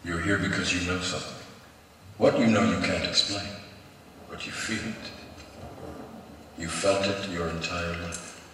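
A deep, solemn mysterious mentor. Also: male voice, fairly even intonation, fairly bright tone, a little hiss or hum. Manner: slow, measured, solemn speech. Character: calm grave mysterious male mentor. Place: large conference hall. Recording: film clip played over hall speakers, heard from far off.

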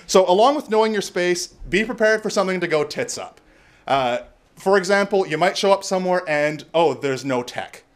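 Loud, gregarious Canadian man on stage. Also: high-pitched, expressive, normal speed. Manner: loud, gregarious, joking with crowd, profane. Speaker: conference speaker. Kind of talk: live talk on presenting. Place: bar venue before live audience.

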